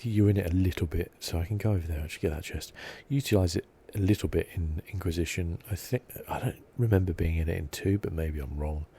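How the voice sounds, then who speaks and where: A man giving soft-spoken ASMR commentary as he plays a game, hushed, relaxed and meandering, close to the mic. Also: sits low, fast talker, hardly any pauses, slightly rough. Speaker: ASMR gaming host.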